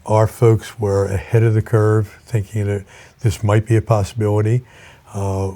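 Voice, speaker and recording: An older man speaking as a college president in an interview, reflective and measured, with halting pauses. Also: on the low side, warm tone.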